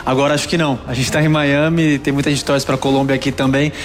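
A man's voice: mid-range voice, little pitch movement, quick pace, big-room sound. A man from Brazil with a Brazilian Portuguese accent, asking a question at a press conference. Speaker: journalist.

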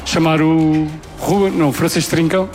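A man moderating a press conference, briskly calling on reporters. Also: fairly high pitch, little pitch movement, music in the background. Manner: brisk, directive.

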